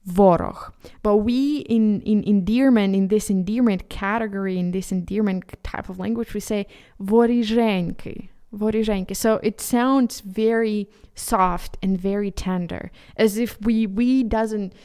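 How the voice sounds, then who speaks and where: A Ukrainian woman, a native Ukrainian speaker, hosting a solo podcast in English with an earnest, halting delivery full of restarts. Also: medium pitch, fairly even intonation, mellow, smooth. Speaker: podcast host.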